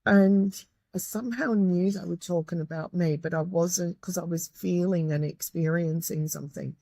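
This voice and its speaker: Woman, low croaky voice, earnest guest, dry close mic. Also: normal speed, mellow, dry recording. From Australia. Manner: earnest, grateful, with many restarts. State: croaky, tired voice. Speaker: guest, medium and tutor. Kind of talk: reflective interview talk.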